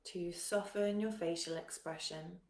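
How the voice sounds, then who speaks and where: A woman leading a guided meditation in a calm, soothing manner, giving gentle instructions with long pauses. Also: fairly low pitch, roomy sound.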